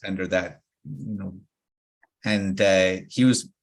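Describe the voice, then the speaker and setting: A relaxed man hosts the roundtable, steering the chat and chuckling easily along the way. His voice sits a bit low. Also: measured pace, gravelly. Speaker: podcast host. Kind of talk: casual podcast roundtable.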